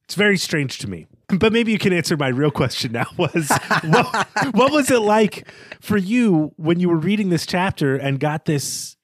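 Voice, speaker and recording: A man's voice: sits high, animated, normal speed, dry recording. A man co-hosting a podcast, discussing literature in an analytical but informal conversational way. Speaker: podcast co-host.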